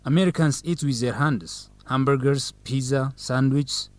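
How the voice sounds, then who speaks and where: A man's voice: mid-range voice, measured pace, no echo, lightly acted. Charming young man played by an actor, dry sound. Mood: courting. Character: Zegai, charming young Ethiopian man courting on a date. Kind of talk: radio drama acting.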